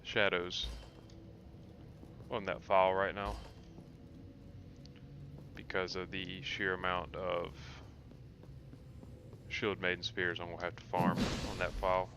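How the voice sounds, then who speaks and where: Low-voiced man muttering over loud noise. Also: monotone, takes it slowly, pauses often. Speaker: streamer playing a video game. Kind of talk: casual gameplay commentary.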